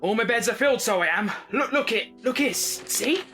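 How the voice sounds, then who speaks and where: Man playing animated doss-house keeper, cheeky. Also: extremely high pitch, moderate pace, exaggerated voice. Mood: excited, gossipy. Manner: cheeky, excitable, colloquial. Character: chatty working-class Victorian London doss-house keeper, male. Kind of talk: period audio drama acting. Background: music.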